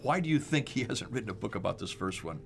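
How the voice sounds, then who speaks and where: A man's voice: lowish voice, lively intonation, quick pace, creaky, a little hiss or hum. A man with an American accent hosting a discussion, walking through slides with emphatic rhetorical questions and stressed dates. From United States. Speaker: host.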